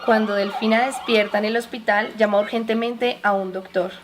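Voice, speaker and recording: A woman's voice: mid-range voice, steady tone, normal speed, noisy background. A woman reading scripted narration for a radio drama.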